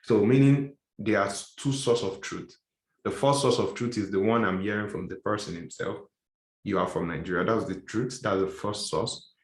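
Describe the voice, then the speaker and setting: A man's voice: lowish voice, normal speed, echoey room, poor audio. A male instructor giving a casual lecture, explaining step by step and often repeating and rephrasing his points. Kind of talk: classroom lecture.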